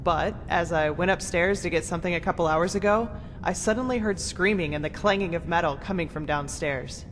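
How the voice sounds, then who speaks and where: A woman's voice: on the low side, a little fast, few pauses, a little hiss or hum, close to an everyday voice. A woman voicing a blunt, sarcastic, foul-mouthed female mage researcher in a video game. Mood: urgent, frank. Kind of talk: video game character dialogue. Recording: reverberant hall, loud music behind.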